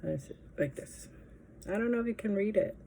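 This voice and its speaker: A woman's voice: mid-range voice, expressive, fairly quick, creaky, warm tone, slight background noise. A woman chatting casually to her camera in a rambling, hesitant way, her nose stuffy from allergies. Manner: rambling, hesitant, many fillers. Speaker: vlogger.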